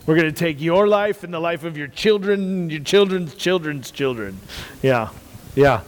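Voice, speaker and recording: Loud-voiced earnest man in reverberant room. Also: a little high, moderate pace. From United States. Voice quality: loud, carrying voice. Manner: earnest, repeats phrases for emphasis. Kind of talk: casual faith talk.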